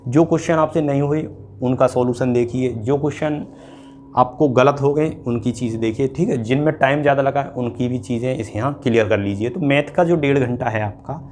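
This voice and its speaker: A man's voice: mid-range voice, fairly quick, darkish tone, slight background noise. A man giving an informal, explanatory exam-coaching lecture, often repeating points and checking in with students. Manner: explanatory, repetitive, with frequent check-in tags.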